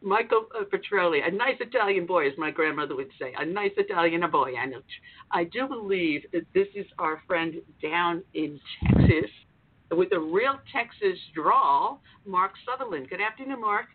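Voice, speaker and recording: A woman's voice: lowish voice, lively intonation, moderate pace, husky. A woman hosting a conservative talk radio show, talking forthrightly and emphatically with her guests. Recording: narrow phone-like line with faint noise.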